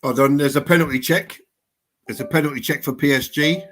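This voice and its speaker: A man commentating on a live football watchalong, briskly steering the talk and reporting the play. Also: a little high, fairly even intonation, a bit bright.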